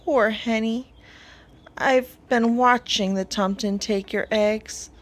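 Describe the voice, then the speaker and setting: A woman voicing a kind, helpful little hedgehog in a storybook, gently reassuring. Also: fairly high pitch, steady tone, takes it slowly.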